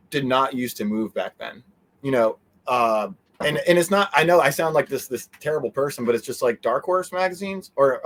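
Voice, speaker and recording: A man of average pitch speaks as a guest in a casual interview. He rambles conversationally, often breaking off and circling back as he explains things.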